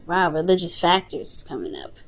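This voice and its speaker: A woman reading tarot unscripted, talking straight to the listener in a halting, reassuring way, often repeating and rephrasing herself. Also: fairly low pitch, very animated, gravelly, a little hiss or hum. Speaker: tarot reader, host.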